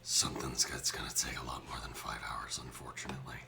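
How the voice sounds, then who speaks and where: Man with a gravelly, extremely deep whisper. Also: flat delivery, quick pace. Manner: low, whispery, gravelly mutter. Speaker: player. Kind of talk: in-character roleplay. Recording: loud background noise.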